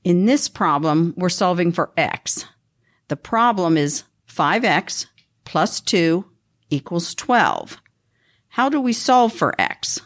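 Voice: mid-range voice, big pitch swings, takes it slowly, fairly bright tone, heavily acted. A female performer playing a schoolteacher keeping order in class in an amateur radio play. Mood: instructive.

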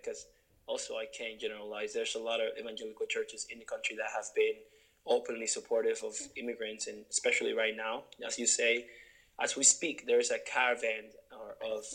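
A man's voice: medium pitch, fairly bright tone, rough recording. A young man from Honduras, a guest in an interview, talking earnestly and searchingly with many restarts. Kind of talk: reflective interview conversation.

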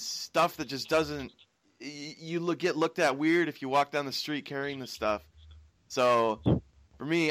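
A man's voice: mid-range voice, fairly bright tone, no room sound. A young American man from Washington State hosting a casual podcast chat, rambling and laughing as he tells stories. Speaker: podcast host.

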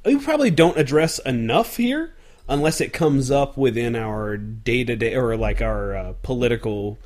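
A man's voice: medium pitch, a little mellow. A young adult man hosting a casual podcast, loose and talkative, musing with fillers. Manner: loose and joking, then reflective with fillers. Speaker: podcast host.

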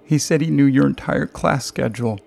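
A man's voice: medium pitch, brisk, gravelly, darkish tone, voice pushed up. A man voicing a frightened, skittish mother in an audiobook, breathless and stammering as her words tumble out. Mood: panicked, breathless. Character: frightened, frantic mother of a college student.

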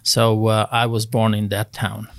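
Gravelly older man with a Polish accent. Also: fairly low pitch, completely flat delivery. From Poland. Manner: measured, searching for words, drops articles. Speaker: guest. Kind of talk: interview, recounting family history.

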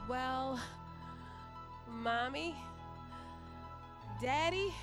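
An actress playing a young adult pastor's daughter in an audio drama, pleading and anxious, with a hesitant, melodramatic delivery. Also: female voice, sits high, drawn-out words, over music, exaggerated voice. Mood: nervous.

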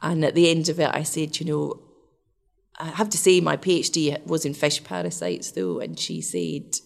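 A middle-aged woman from the west of Scotland with a Scottish accent, telling stories in a relaxed, animated way as an interview guest. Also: low-pitched, moderate pace, creaky. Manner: chatty, animated, laughs often. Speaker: interview guest, research scientist. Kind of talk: casual storytelling interview.

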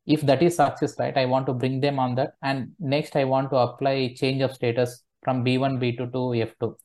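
A man calling in for advice, explaining his situation haltingly, with "like" and "right" scattered through his sentences. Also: mid-range voice, level tone, a little mellow. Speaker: caller asking for advice.

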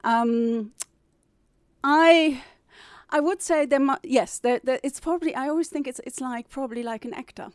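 A woman's voice: sits high, moderate pace, clear, resonant tone, no echo, clean studio sound. A woman whose first language is German, a publisher and novelist, answering interview questions in a thoughtful way, with fillers and restarts.

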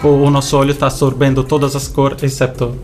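A man's voice: mid-range voice, monotone, fast, darkish tone, faint music behind. A man from Italy speaking as an interview guest in non-native speech, with an Italian accent touched by Brazilian, explaining his work. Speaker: interview guest, visual show creator.

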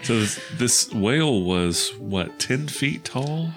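A man's voice: mid-range voice, expressive, takes it slowly, over music. A man playing a tabletop game, chatting casually and chiming in. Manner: casual, interjecting. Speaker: player.

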